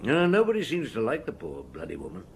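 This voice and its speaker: Shrewd police chief, audio drama, animated pitch, measured, probing, dryly courteous. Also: male voice, creaky, a little hiss or hum. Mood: thoughtful. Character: shrewd, unhurried male police chief inspector.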